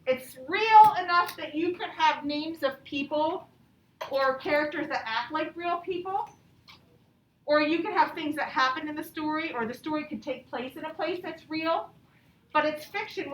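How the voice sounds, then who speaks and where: A high-voiced woman speaks as an elementary teacher, guiding young children through a lesson and storybook. She is instructive and often checks in with questions. The sound is roomy and a little far from the mic, with faint noise. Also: normal speed.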